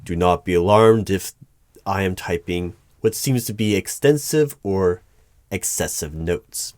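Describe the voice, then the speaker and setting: A man playing a formal, easily flustered hiring manager in an ASMR roleplay, speaking in measured, halting phrases. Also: sits low, darkish tone, no room sound, clean studio sound. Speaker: performer of a one-sided roleplay. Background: computer keyboard typing.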